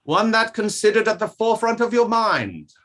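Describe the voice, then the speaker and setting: A man's voice: high voice, very animated, normal speed, a bit bright. A man playing a precise, pressing inquiry barrister, cross-examining formally in a play table read. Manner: probing, formal, hesitant over document references.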